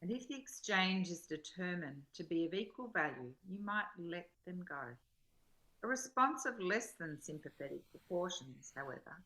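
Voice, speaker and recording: A woman taking part in a spiritual book study as a reading partner, speaking in a measured, careful way. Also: fairly low pitch, pushed and bright, rough recording. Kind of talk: reading aloud from a spiritual text.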